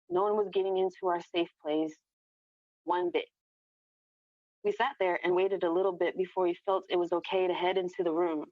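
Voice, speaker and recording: A woman reading her own first-person story aloud as a narrator, in an echoey, muffled recording. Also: fairly low pitch. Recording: echoey room, dull lo-fi sound.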